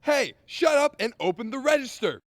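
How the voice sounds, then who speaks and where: An actor playing a loud, aggressive armed man robbing a store in an amateur western, yelling his orders. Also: extremely high pitch, sing-song, moderate pace, no room sound. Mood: aggressive, shouting. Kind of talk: amateur western film acting.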